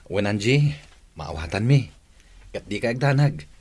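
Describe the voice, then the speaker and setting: An actor playing a polite, deferential son-in-law who speaks reassuringly in an Ilocano radio drama. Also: male voice, lowish voice, expressive, measured pace, darkish tone, slightly rough. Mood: reassuring, thankful.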